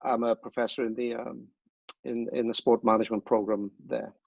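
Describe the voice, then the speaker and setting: A man with a Welsh accent, a professor, introducing himself over a video call in a relaxed, plain-spoken way. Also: mid-range voice, brisk, vocal fry, slightly rough, dry recording. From Wales. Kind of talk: interview guest. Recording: dull lo-fi audio.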